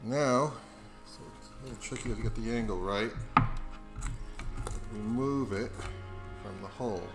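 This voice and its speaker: A man hosting a video, explaining things casually in an unhurried, self-deprecating way. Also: on the low side, music in the background. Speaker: video host.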